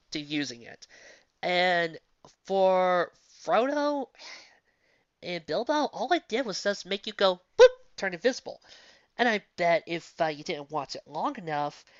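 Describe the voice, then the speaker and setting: Woman doing a casual fanfic review, kinda halting, lowish voice, dull lofi mic. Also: no echo. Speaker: fan fiction reader and reviewer. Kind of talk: casual off-the-cuff story review.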